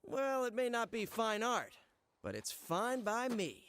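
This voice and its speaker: A wisecracking young superhero. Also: male voice, extremely high pitch, huge pitch swings, very noisy, full character voice. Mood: joking. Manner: joking, quick quips. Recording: game cutscene with loud music.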